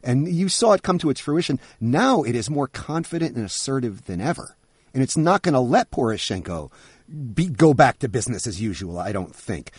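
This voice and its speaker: Husky-voiced man interviewing, lively delivery. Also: medium pitch, moderate pace, no room sound, clean studio sound. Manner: thoughtful, restarts phrases. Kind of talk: conversational interview.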